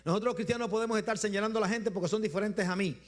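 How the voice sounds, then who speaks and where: A man with a Puerto Rican accent preaching a Sunday sermon in a rhythmic style, repeating phrases and letting his voice drop low at the end of each point. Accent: Puerto Rican Spanish. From Puerto Rico. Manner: rhythmic repetition, drops low at phrase ends, slows for emphasis. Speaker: bishop preaching.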